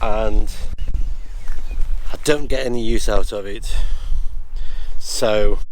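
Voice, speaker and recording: A British man with a slightly low voice talks at a very slow, musing pace. He is vlogging casually outdoors, hesitant and unscripted, with some faint background noise around him. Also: fairly even intonation, rough recording. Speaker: amateur radio hobbyist and video host. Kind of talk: casual rambling outdoor vlog chat. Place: outdoors on a rural walk.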